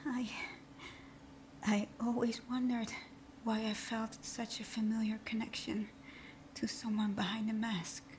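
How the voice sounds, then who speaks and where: Woman acting a slow-spoken, breathy female supervillain. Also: on the high side, darkish tone, a little hiss or hum. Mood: stunned, tender. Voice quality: breathy, often whispered. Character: female supervillain thief, teasing bravado hiding a timid, wounded heart. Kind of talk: ASMR roleplay audio drama.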